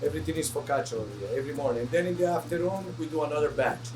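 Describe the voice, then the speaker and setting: A middle-aged man from Italy with an Italian accent, a restaurant co-owner chatting casually and haltingly, with many fillers and a few laughs. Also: fairly high pitch, over music, poor audio. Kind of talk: casual interview chat.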